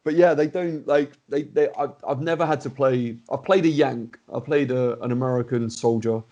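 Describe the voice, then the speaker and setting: A man's voice: medium pitch, moderate pace, mellow, highs cut off. A young southern English man with a mid-range voice, joking casually as a podcast host. He stumbles and restarts often but keeps it light. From England. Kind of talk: casual chat.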